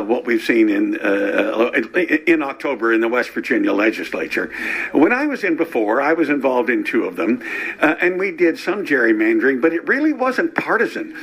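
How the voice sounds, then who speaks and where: A man's voice: medium pitch, big pitch swings, moderate pace, fairly bright tone, slightly rough. An older man, a state legislator, answering interview questions in emphatic declarative statements.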